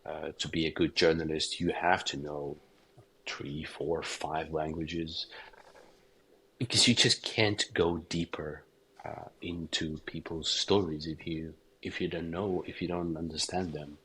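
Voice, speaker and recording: Ukrainian guy in an interview, really low voice, keeps restarting his sentences. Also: fairly even intonation, fairly bright tone, slightly rough, low-quality recording. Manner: halting, frequent restarts and repeated words. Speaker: documentary director, interview guest. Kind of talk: casual interview answers.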